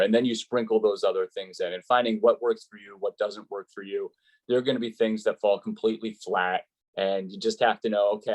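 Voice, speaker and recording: A man's voice: lowish voice, low-quality recording. An American man, a sports play-by-play announcer, giving advice conversationally in an interview and explaining with examples and rhetorical questions.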